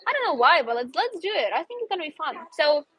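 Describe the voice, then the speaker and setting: A woman's voice: high-pitched, sing-song, quick pace, bright, forceful tone, dry recording. A young woman with a Ukrainian accent hosting a casual outdoor live stream, chatty and upbeat, sometimes searching for English words. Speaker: host, English teacher. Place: outdoors in a city square.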